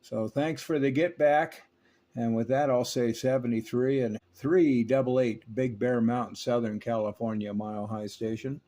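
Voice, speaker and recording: An older man reviewing a vintage CB radio in a relaxed, knowledgeable, folksy manner, also chatting on air as a radio operator. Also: medium pitch, normal speed. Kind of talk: technical review narration and on-air radio chat. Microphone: stock desk microphone on the radio.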